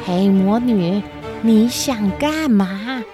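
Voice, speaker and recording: A woman's voice: medium pitch, drawn-out words, over music. A woman voicing a protective, caring tulip fairy with an earnest, firm manner in a storybook audio drama. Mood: stern.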